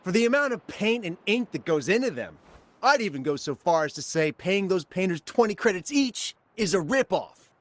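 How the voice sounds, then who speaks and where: A man's voice: high voice, very animated, moderate pace, no room sound. A man voicing a brash, greedy crook in a video game, with a blustering, dismissive manner. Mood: scornful. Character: brash, greedy male crook running an art forgery racket. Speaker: game villain.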